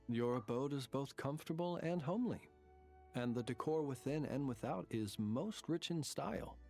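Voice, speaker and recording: Formal, solemn gentleman, over music. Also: mid-range voice, creaky. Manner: formal, ornate, measured phrasing. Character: courteous, solemn gentleman who lived alone in a cemetery. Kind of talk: scripted video game character dialogue. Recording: game music behind the voice.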